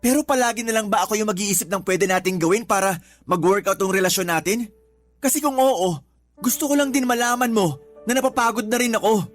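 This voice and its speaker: A man acts out a Filipino radio drama boyfriend who is worn down and hurt. His voice sits high for a man, and he speaks earnestly and with reproach, over faint music. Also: brisk, lightly acted. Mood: hurt, exasperated. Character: weary, hurt live-in male partner in a romantic quarrel.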